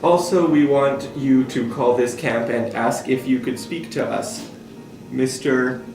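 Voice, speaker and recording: A man reading a child's camp letter aloud, stumbling a little over the written words. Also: medium pitch. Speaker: staff member reading aloud. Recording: echoey room, distant mic, music behind. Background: guitar music.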